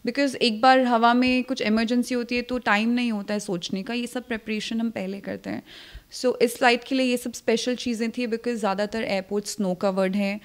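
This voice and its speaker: A woman's voice: on the high side, steady tone, moderate pace. A young woman with an Indian accent answering interview questions as an airline pilot, switching between two languages. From Jammu, India. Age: young adult. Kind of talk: interview answers mixing Hindi and English. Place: indoor living room, seated interview. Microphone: handheld reporter mic held toward the speaker.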